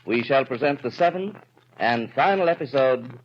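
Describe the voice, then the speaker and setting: American man, mid-pitched, formal network announcer of the 1930s, dull and crackly vintage radio sound. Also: expressive, normal speed. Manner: formal, enunciated delivery. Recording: noisy, crackly, band-limited 1930s radio recording.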